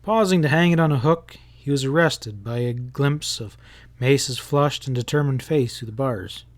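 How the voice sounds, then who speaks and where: A man's voice: medium pitch, normal speed. A man reading a western novel aloud as a dramatic audiobook narration. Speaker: audiobook reader.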